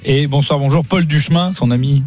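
A man hosting a radio show, speaking in casual slang with bantering, animated storytelling. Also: fairly high pitch, brisk, soft background music. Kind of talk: casual bantering talk and comic anecdotes.

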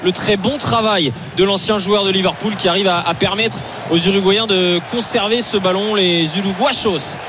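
Man, football commentator, high voice, hesitant fillers, noisy broadcast. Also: fairly even intonation, moderate pace, few pauses. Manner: hesitant, many fillers, speeds up on attacks. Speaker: play-by-play commentator. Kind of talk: live football radio commentary. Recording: noisy stadium radio broadcast.

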